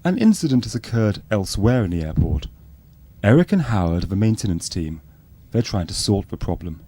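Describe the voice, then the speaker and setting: Male documentary narrator, low mellow voice, deadpan and earnest, lively intonation. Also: a little fast. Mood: matter-of-fact. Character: earnest docu-soap narrator, matter-of-fact.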